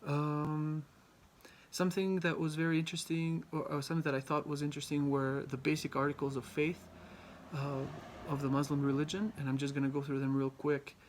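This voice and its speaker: A young man from Albania giving a class presentation, halting often with ums and restarts. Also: a little high, level tone, a little hiss or hum. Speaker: student presenter.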